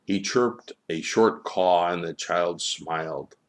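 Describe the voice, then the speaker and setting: A man with a gravelly voice narrating a scripted story as a storybook reader. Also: sits low, takes it slowly.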